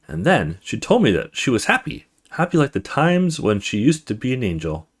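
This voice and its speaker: An earnest little girl from a horror visual novel confides in her mother, read out by a man. The delivery is childlike and animated, with the pitch often lifting. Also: moderate pace, breathy edge.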